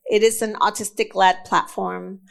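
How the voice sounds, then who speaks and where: A woman from Indonesia giving a conference talk, speaking slowly and deliberately with frequent fillers and restarts. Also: mid-range voice, flat delivery, creaky. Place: conference session room.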